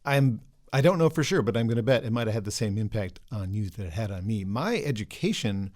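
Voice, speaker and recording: Quick-talking male podcast host. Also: lowish voice, expressive, a little mellow. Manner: quick, chatty, jokey rants. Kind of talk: casual interview chat.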